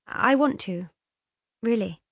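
Audiobook role acted by a woman: affected young wife, breathless and gushing, very animated pitch, dry close sound. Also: slow pace, breathy edge, dry recording, full character voice. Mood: pleading. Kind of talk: audiobook character dialogue.

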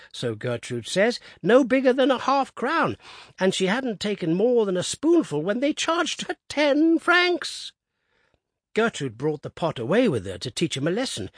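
A man's voice: sits high, big pitch swings, measured pace, no echo, clean studio sound, voice pushed up, full character voice. A man voicing a feeble, talkative old man with a thin, piping, quavering voice. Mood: chattering.

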